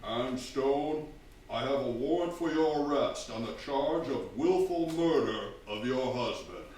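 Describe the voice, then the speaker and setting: Actor as animated police inspector, echoey hall. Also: male voice, mid-range voice, a little slow, close to an everyday voice. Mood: stern. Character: authoritative, wry police inspector. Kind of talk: stage radio drama acting. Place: theatre stage, live performance. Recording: very echoey hall, distant mic, clear noise.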